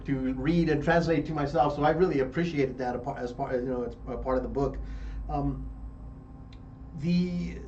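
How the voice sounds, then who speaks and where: A man's voice: on the high side, moderate pace, darkish tone. A middle-aged man hosting a radio interview, enthusiastic and affirming, talking in a loose, rambling way. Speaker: radio show host. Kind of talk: interview conversation. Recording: dull distant mic with faint noise.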